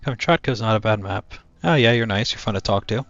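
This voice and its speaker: Young man, monotone, gravelly, lowish voice, casual streamer chat, dull headset mic. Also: brisk, no room sound, soft background music. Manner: low-key, talks to chat while playing. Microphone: cheap headset mic.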